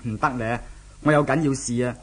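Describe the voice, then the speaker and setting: A man's voice: medium pitch, fairly quick, natural voice. Man acting an earnest, worried young suitor. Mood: polite impatience. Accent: Hong Kong Cantonese. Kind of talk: 1970s Cantonese radio drama acting. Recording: vintage 1970s radio drama recording.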